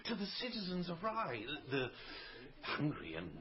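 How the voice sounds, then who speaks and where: An actor playing a grandiose, excitable travelling showman and father, with a flowery, pompous way of talking, in a radio drama. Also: high-pitched, a little slow, heavily acted. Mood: wheedling. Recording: dull lo-fi broadcast, crowd behind.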